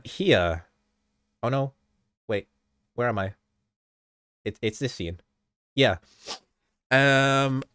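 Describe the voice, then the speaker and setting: A man's voice: mid-range voice, no room sound, clean studio sound. A man with a stuffy, slightly sick voice, rambling through a casual behind-the-scenes talk about his video edits, often stopping himself mid-sentence and chuckling.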